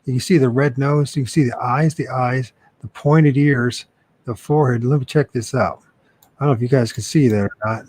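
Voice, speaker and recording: A man's voice: mid-range voice, a little fast, breathy edge. A man co-hosting a casual live stream, joking around and laughing often. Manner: jokey, laughs often, animated. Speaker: podcast co-host.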